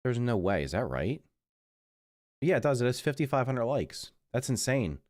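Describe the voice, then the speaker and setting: A man's voice: mid-range voice, sing-song, moderate pace, a little mellow, dry recording, studio quality. A middle-aged man livestreaming a game who sounds congested and rambles in casual chat, often correcting himself mid-sentence. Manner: rambling, self-correcting, mutters while busy. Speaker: livestreaming gamer. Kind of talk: casual live stream chat.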